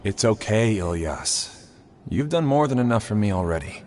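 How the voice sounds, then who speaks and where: A man's voice: lowish voice, lively intonation, normal speed, darkish tone, close to an everyday voice. A man voicing a put-upon young graduate student in a video game, weary and placating. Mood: weary, resigned. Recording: long reverb, faint noise.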